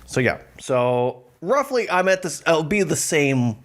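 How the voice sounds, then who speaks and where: A man's voice: on the high side, sing-song, unhurried. A man in his thirties co-hosting a casual podcast, retelling scenes with lots of fillers and frequent laughter. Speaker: podcast co-host. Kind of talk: casual chat.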